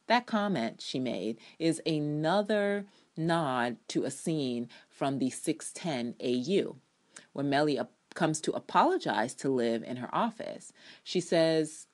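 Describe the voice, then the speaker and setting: A woman's voice: very deep voice, lively intonation, a little slow. A woman reviewer giving an opinionated, sardonic and theatrical reading of her own written commentary, with casual asides. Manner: emphatic, theatrical delivery. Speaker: TV drama reviewer.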